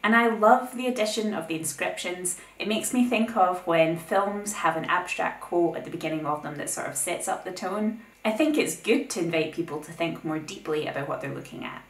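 A woman's voice: fairly low pitch, fairly quick, few pauses. Young woman narrating, echoey room. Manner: opinionated, lively asides between explanations. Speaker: art video host. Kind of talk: scripted art essay narration. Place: plain indoor room.

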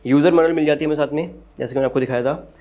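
A man's voice: a little high, steady tone, rapid-fire, warm tone, highs cut off. A man with an Indian accent giving a casual, step-by-step unboxing review as the channel's host. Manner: explanatory, step-by-step.

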